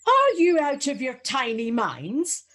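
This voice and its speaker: A huffy village lady played by a woman in a radio pantomime. Her voice is high and swoops with indignation. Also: huge pitch swings, takes it slowly, a bit bright, exaggerated voice. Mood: indignant.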